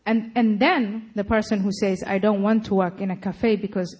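A woman giving a public talk, arguing her case forcefully. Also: medium pitch, steady tone, normal speed, husky. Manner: forceful, argumentative. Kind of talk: lecture and debate answering.

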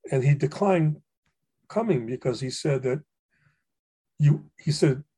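An older man from Hawaii telling stories about fellow poets in a relaxed conversation, with frequent fillers and restarts. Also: fairly high pitch, a little slow, husky. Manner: rambling, many fillers and restarts, warm anecdotes. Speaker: poet and guest. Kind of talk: reflective storytelling conversation.

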